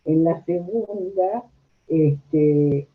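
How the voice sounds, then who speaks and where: A woman's voice: deep voice, very slow. Older female interviewee from Argentina, Rioplatense accent. Anecdotal, conversational telling through thin phone-like audio. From La Plata, Argentina. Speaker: interviewed social worker. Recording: tinny, narrow phone-like audio.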